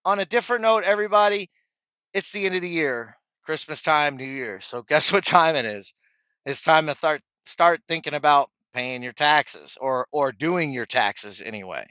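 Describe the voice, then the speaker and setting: A man's voice: fairly high pitch, moderate pace, pushed and bright, no echo, muffled recording. A middle-aged man telling stories and giving advice to viewers in a casual, chummy vlog style, as a trucker presenting to his peers. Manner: chummy, addresses viewers as guys.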